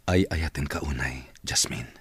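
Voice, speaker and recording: A man's voice: rumbling low, unhurried, a bit bright, breathy edge, no echo, heavily acted. An actor playing a smitten, insistent male office boss in an Ilocano-accented radio drama. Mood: tender, pleading. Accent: Filipino, Ilocano.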